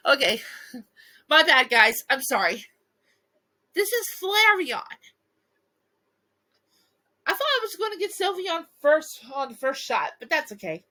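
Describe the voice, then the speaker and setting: A woman reading a bedtime story aloud and chatting casually to viewers, with a playful, giggly, expressive manner. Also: sits high, a little slow, long pauses, poor audio. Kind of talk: storybook read-aloud with casual asides to fans.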